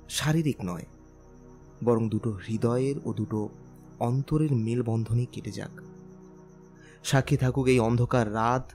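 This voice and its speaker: A man's voice: medium pitch, a little mellow, close to an everyday voice. Man acting a tender young bridegroom, music underneath. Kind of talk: Bengali romantic audio drama acting.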